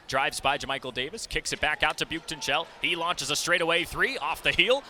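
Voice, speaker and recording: A young man calling live basketball play-by-play for radio, describing the action vividly as his voice jumps with the plays. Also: fairly high pitch. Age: young adult. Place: courtside broadcast in a basketball arena. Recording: loud arena noise, bouncing ball behind. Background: basketball game ambience, ball bounces.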